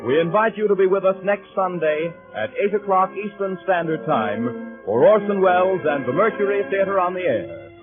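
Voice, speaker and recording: A man's voice: sits high, big pitch swings, normal speed. A man giving formal, scripted announcements as a network announcer in 1930s radio style. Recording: phone-like vintage radio broadcast, faint music.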